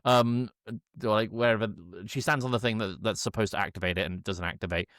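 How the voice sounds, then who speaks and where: A man co-hosting a podcast, giving a casual comedic film recap in a halting, irreverent way with mock-quoted dialogue. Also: fairly low pitch, fairly even intonation, fairly bright tone, clean tone, no room sound, studio quality. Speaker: podcast co-host.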